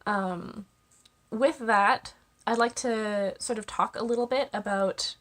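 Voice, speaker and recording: A woman's voice: on the high side, steady tone, takes it slowly, fairly bright tone. A young woman vlogging to camera, congested with a cold and chatting casually with lots of ums and small laughs. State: congested, stuffy-nosed. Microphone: camera mic.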